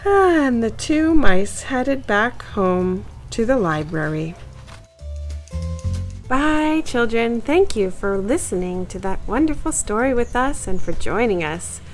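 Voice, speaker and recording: A woman's voice: a little high, very animated, slow pace, soft background music. A woman reading a children's story aloud and hosting a kids' story channel. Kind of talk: animated read-aloud storytelling for young children.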